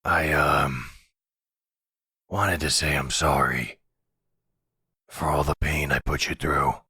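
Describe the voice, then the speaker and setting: A man performs a gruff older mafia boss in an intimate roleplay drama. The voice is extremely low and very husky, close and dry. He speaks slowly and hesitantly, weary but tender. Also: fairly even intonation, sharp tone, no echo, exaggerated voice. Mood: regretful, tender. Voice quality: gravelly, husky. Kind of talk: boyfriend roleplay audio drama.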